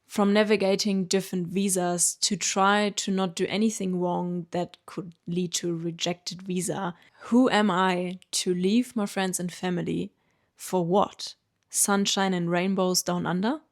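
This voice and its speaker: A German woman in her late twenties, a native German speaker with a German accent, calmly telling her own story in an earnest, reflective vlog. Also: lowish voice, a little slow. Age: late 20s. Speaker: vlogger. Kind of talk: reflective personal narration.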